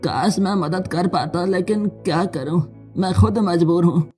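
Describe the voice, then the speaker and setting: A woman's voice: fairly low pitch, a little slow, warm tone, faint music behind, natural voice. A woman voicing a helpless, regretful old village man in a cartoon story.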